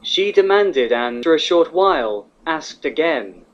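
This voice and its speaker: A man narrates a written story in a fairly high male voice. The recording is a little dull and lo-fi, as if made at home. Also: expressive, a little slow.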